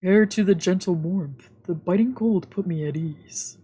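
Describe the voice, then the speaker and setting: A woman's voice: on the low side, a little slow, mellow, breathy edge, poor audio. A woman streamer reading a visual novel aloud and chatting casually as she plays. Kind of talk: read-aloud narration with casual let's-play chat. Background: faint game music.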